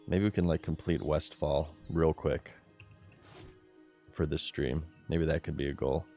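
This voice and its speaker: A man's voice: a bass voice, darkish tone. A man streaming a video game, chatting casually to his viewers in a giggly, laid-back way.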